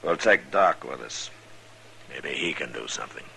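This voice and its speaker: An American man acting a steady, plain-spoken, commanding middle-aged frontier marshal in a 1950s radio western. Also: rumbling low, normal speed, vocal fry, full character voice. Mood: calm, decisive. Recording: old radio recording, faint noise.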